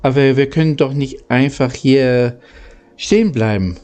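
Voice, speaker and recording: A man's voice: medium pitch, a little slow, a little mellow, faint music behind, lightly acted. A man voicing a frightened, freezing young boy in a storybook, asking anxious, stammering questions.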